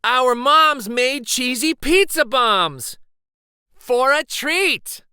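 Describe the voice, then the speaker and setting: Guy putting on an excited little kid, storybook boy, high and loud, drags the words out. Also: very slow, smooth, no room sound, studio quality, exaggerated voice. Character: excitable little boy who loves pizza treats. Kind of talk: children's storybook character voice.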